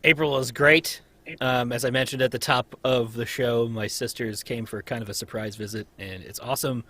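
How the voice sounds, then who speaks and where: A man's voice: medium pitch, steady tone, normal speed, pushed and bright, no echo. A man chatting casually and in an easygoing, upbeat way from a home basement recording setup. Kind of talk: casual chat.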